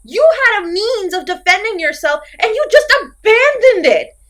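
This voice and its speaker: Woman acting a high, theatrical slasher villainess. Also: big pitch swings, moderate pace, fairly bright tone, exaggerated voice. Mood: exasperated ranting. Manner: theatrical, sarcastic, scolding. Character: theatrical, exasperated, easily ranting masked slasher killer woman. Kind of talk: audio roleplay horror-comedy acting.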